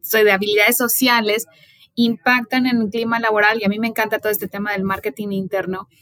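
A woman's voice: mid-range voice, flat delivery, brisk, no echo. A woman, hosting an interview, speaking with hesitant restarts. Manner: warm, hesitant, many restarts. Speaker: podcast host.

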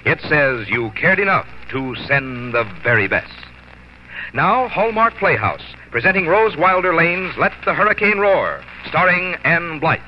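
A man's voice: on the high side, very animated, measured pace, a little hiss or hum. An American man announcing in a polished, declamatory 1940s radio style. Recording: muffled 1940s radio broadcast. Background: loud music.

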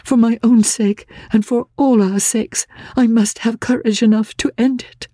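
A woman voicing an anguished, gentle young gentlewoman, earnest and halting, in a dramatic classic audiobook. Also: fairly high pitch, fairly even intonation, dry recording, studio quality, voice pushed up. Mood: tearful, determined.

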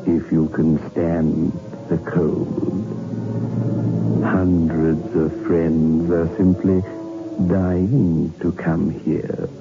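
An actor playing a macabre, slyly welcoming horror host, sinister and drily mocking, in 1960s radio style. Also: male voice, deep voice, flat delivery, drawn-out words, music in the background, full character voice. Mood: sinister welcome. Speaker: horror show host and narrator. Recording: tinny old radio recording.

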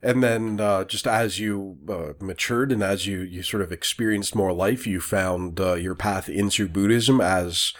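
A man's voice: low-pitched, unhurried, hardly any pauses. A man hosting a radio interview show, introducing the episode and then asking hesitant, apologetic questions full of fillers. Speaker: podcast host.

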